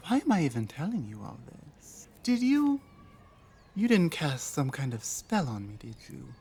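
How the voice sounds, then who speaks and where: Casting: actress as lost orc warrior woman for ASMR roleplay; earnest, wary, unhurried, pausing often. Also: very deep voice, a little mellow, slightly rough, full character voice. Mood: wary, overwhelmed. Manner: halting, earnest, deliberate phrasing with pauses. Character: lost, guarded young female orc warrior, earnest and lonely. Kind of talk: ASMR roleplay audio drama.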